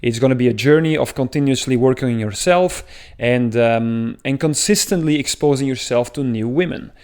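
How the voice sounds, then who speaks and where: A man's voice: medium pitch, normal speed, a little mellow, clean tone. A man from Belgium with a thick Belgian accent, talking earnestly to camera as a dating coach, explaining at length with frequent filler sounds. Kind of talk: talking-head advice video.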